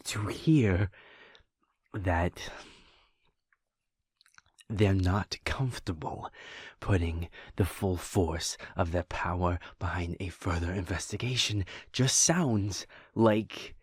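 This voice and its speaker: A man with a low, slightly breathy voice speaks to his partner in a soft, halting way, often trailing off and restarting. He talks slowly and unhurriedly. The sound is dry and close. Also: no echo. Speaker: audio roleplay performer. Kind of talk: intimate audio roleplay, speaking to the listener.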